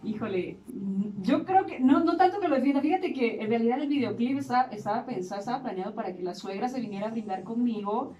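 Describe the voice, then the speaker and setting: A woman's voice: fairly high pitch, quick pace, few pauses, recorded from a distance, dull audio, crowd noise. A woman in her thirties with a Mexican accent, a singer talking frankly in a casual radio interview, with plenty of fillers. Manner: chatty, frank, lots of fillers like "este". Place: small radio studio. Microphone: headphones and boom mic. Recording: echoey studio, off mic.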